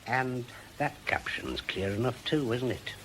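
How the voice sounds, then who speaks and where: An actor in a radio drama playing an indignant, obsessed widower, insistent, accusing and scornful, with a rough edge to his voice. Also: mid-range voice, measured pace, fairly bright tone, a little hiss or hum, close to an everyday voice. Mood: accusing, righteous. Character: indignant, obsessed widowed husband hunting witches.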